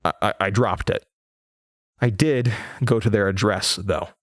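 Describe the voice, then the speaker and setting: A man with an American accent playing a haunted young radio host in a horror audio drama, talking in a halting, nervous, rambling way. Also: on the low side, no room sound, clean studio sound, lightly acted. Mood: uneasy, reflective. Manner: halting, stammering, conversational.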